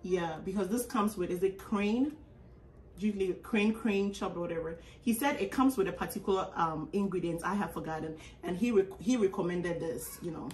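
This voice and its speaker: A young Ghanaian woman chatting casually about her grocery haul, animated and full of um fillers, recorded at a table indoors. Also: lowish voice, normal speed, roomy sound, recorded from a distance, a little hiss or hum, low-quality recording. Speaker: vlogger. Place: small indoor room at a table.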